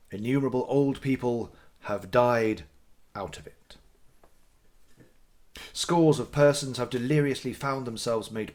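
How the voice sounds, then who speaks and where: A man narrating classic literature as a dramatic audiobook, with theatrical, deliberate phrasing and pauses for effect. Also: mid-range voice.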